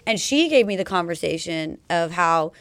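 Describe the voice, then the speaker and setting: A woman's voice: on the low side, lively intonation, moderate pace, fairly bright tone, no echo. A woman from Los Angeles, a DJ guest on a podcast, chatting in a casual, blunt way, swearing freely and saying "like" often. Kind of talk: casual interview chat.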